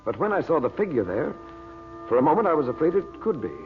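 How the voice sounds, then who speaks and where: An actor playing an earnest, troubled magician addressing his master gravely and respectfully in an old radio drama. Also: fairly high pitch, sing-song, fast. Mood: troubled, pleading. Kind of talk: 1930s-40s radio drama acting. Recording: muffled old radio recording with music.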